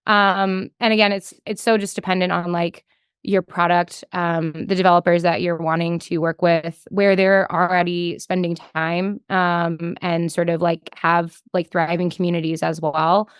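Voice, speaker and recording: A woman's voice: on the low side, little pitch movement, unhurried, clear, resonant tone, no room sound, studio quality. A woman chatting casually as an interview guest, thinking aloud and peppering her talk with "like". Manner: conversational, thinking aloud, frequent hedging with "like". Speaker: podcast guest, developer relations engineer.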